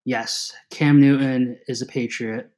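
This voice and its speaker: A man in his twenties hosting a casual sports podcast, energetic and teasing, with quick asides. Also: mid-range voice. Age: 20s. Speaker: podcast host.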